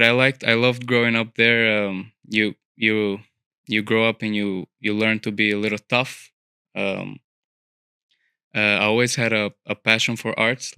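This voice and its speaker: A young man from Albania talks casually as a podcast guest, circling his thoughts with fillers and restarts. His voice sits low, with a bright, smooth and clear tone. It is recorded dry and close in a studio. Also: dry recording. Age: young adult. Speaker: guest, architecture student. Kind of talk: casual interview conversation.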